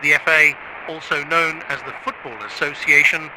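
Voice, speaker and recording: A man's voice: fairly high pitch, flat delivery, moderate pace. A man reading a scripted urgent public announcement as an emergency broadcast announcer, in a noisy, hissy recording.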